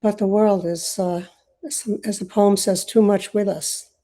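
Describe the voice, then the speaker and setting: Older lady on a video call, warm mellow voice, talks slow and thoughtful, grew up speaking swahili. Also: mid-range voice, fairly even intonation. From Uganda. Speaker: host. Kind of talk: casual online video call chat.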